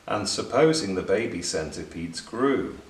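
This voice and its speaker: A man's voice: sits low, animated, a little slow. A man voicing an earnest, lonely young male rabbit poet who recites in rhyme for a children's storybook. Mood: curious, reciting. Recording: roomy, distant, faint background noise.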